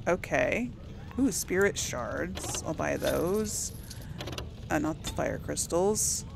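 A woman's voice: low-pitched, lively intonation, takes it slowly, creaky, background noise. A woman giving casual let's play commentary, thinking aloud and musing to herself as she plays. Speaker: gaming YouTuber.

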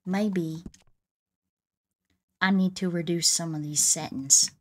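A woman's voice: lowish voice, fairly even intonation, slow, a little mellow. A woman with a Southern US drawl, chatting casually in folksy cowboy talk as she hosts a game livestream. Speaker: livestreaming VTuber host playing a video game.

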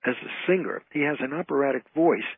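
A male guest talks over a narrow, tinny phone line in a casual interview. His voice is husky and dry, and he is emphatic and effusive about what he likes. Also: mid-range voice, fast, no room sound. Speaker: guest, entertainer and author.

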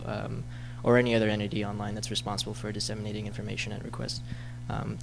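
Flat-voiced man asking a question. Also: lowish voice, background noise. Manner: hesitant, flat, many ums. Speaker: audience member asking a question. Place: lecture hall audience.

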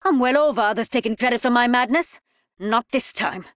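An actress playing a cheeky, mischievous young woman engineer in a video game, cocky and lively. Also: fairly high pitch, a little fast, no echo, studio quality, natural voice. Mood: cocky defiance.

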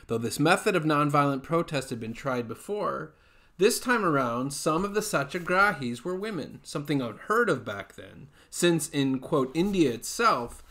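A man in his forties reads nonfiction aloud in a fairly high voice. His phrasing is measured and deliberate, in the style of an author presenting his own book. He is recorded at home, at arm's length from the microphone. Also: fairly bright tone. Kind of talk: read-aloud nonfiction narration. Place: small furnished room at home, indoors.

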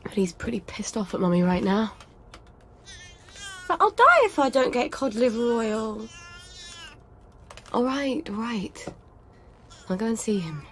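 Young English mother, game drama: anxious, sharp-tongued, protective, faint noise behind her. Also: a little high, very animated, unhurried, pauses often. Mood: anxious resolve. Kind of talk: video game drama acting.

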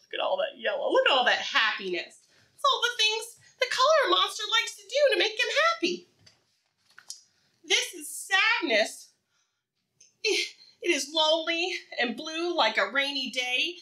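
A woman preschool teacher doing an interactive read-aloud for young children, asking questions in an encouraging, sing-song way and pausing for answers. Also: extremely high pitch, sing-song, bright, forceful tone.

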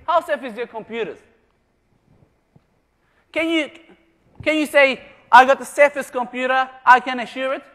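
A man's voice: very high-pitched, fairly even intonation, normal speed, long pauses, fairly bright tone. A man from Germany giving a jokey conference presentation, quizzing the live audience with questions. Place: large conference room. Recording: long reverb of a large hall.

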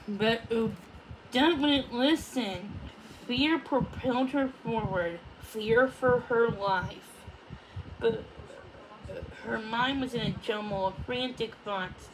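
A woman reading a children's book aloud, haltingly, stumbling over words and repeating them. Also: on the high side, noisy background. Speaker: storybook reader.

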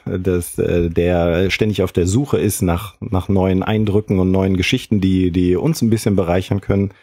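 A man hosts a casual podcast chat. His voice sits fairly low and has a soft, mellow tone. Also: steady tone, moderate pace. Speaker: podcast host.

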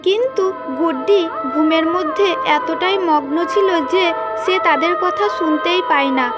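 A woman storyteller reading scripted narration for children's cartoon tales. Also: high voice.

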